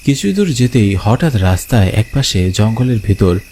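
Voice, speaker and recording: Dark-voiced man narrating horror. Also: fairly low pitch, very animated, normal speed, a little hiss or hum. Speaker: story narrator. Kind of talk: dramatic first-person horror narration.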